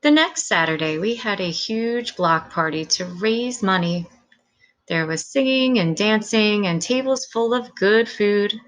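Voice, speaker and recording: A woman's voice: mid-range voice, animated, a little slow. A woman reading a children's story aloud as scripted narration.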